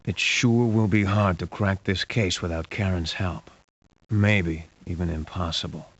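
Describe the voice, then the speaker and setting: A man's voice: sits low, fairly even intonation, measured pace. A man voicing a cool, hard-boiled private investigator for a video game, dry and terse, in lo-fi game audio. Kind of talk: video game character acting. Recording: dull lo-fi game audio with hiss.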